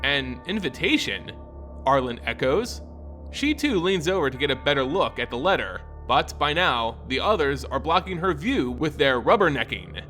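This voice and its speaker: A man hosting a lore channel, giving expressive, theatrical scripted fantasy narration and commentary, with music behind. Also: fairly high pitch, normal speed. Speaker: lore storyteller and channel host.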